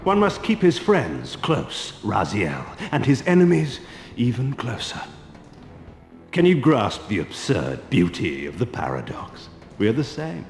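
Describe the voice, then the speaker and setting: An actor playing an ancient, arrogant vampire lord in a video game, speaking in a theatrical, deliberate, cynical way. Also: male voice, lowish voice, full character voice. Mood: gloating, impassioned. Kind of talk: dramatic video game acting. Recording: echoey hall reverb over music.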